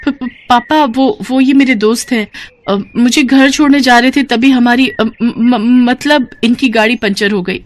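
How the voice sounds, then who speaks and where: A woman's voice: fairly high pitch, unhurried, close to an everyday voice. A woman voicing a money-hungry young college girl in a Hindi cartoon story, whiny, argumentative and emphatic. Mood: nervous, hesitant.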